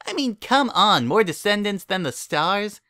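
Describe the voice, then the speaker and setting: A man's voice: high voice, big pitch swings, a little slow, pushed and bright, dry recording, clean studio sound, pitched above the performer's own voice, heavily acted. A man voicing a small, precocious filly who argues and nitpicks in a bratty, sleepy way, in a storybook reading. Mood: argumentative. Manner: argumentative, nitpicking, dismissive.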